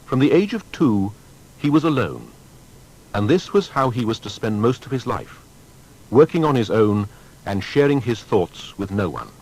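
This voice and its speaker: A man narrating a scripted biography in a measured, formal and dramatic way. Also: sing-song, darkish tone, husky, poor audio. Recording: faint background rumble and noise.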